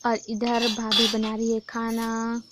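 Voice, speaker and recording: A young woman from Chhattisgarh, hoarse from a sore throat, chatting casually to her viewers in a home vlog. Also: a little high, completely flat delivery, takes it slowly, very noisy. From Chhattisgarh, India. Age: young adult. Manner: chatty, keeps addressing viewers as friends. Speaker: vlogger. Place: village home during a festival.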